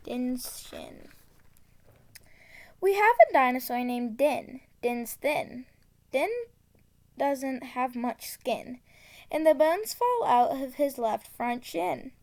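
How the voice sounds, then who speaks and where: A woman reading a children's tongue-twister book aloud, enunciating carefully and deliberately. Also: sits high, lively intonation, darkish tone. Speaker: storybook reader.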